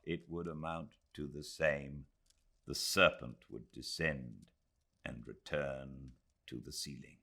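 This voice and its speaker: A British man reading literary narration as an audiobook narrator, measured and expressive. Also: low voice. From Britain.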